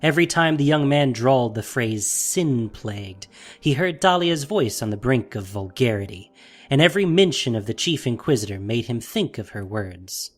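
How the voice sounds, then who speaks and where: A man's voice: medium pitch, expressive, moderate pace, faint music behind. A man narrating a fantasy audio drama in a dramatic, scripted storytelling style.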